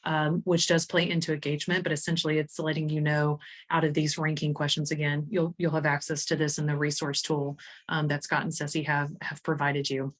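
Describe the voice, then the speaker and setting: A woman's voice: deep voice, level tone, fairly quick. A woman presenting a conversational webinar demo, explaining things informally as she goes, with little tag questions. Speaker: presenter demonstrating survey software. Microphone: computer mic on a screen-share video call.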